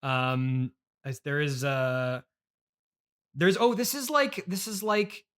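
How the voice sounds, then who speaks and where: A man hosting an improvised comedy interview, speaking in a halting, awkward, hesitant way. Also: fairly high pitch, a bit bright, clear, resonant tone. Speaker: podcast host.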